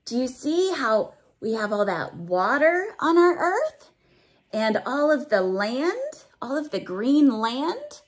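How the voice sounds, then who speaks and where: A woman with a slightly high voice reads a picture book to small children. She takes her time, drawing out rhyming lines with expression. Between them she explains things in simple, teacherly words and often puts questions to the listener. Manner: drawn-out, expressive reading of the story's rhymes. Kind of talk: animated read-aloud with explanatory asides for young children.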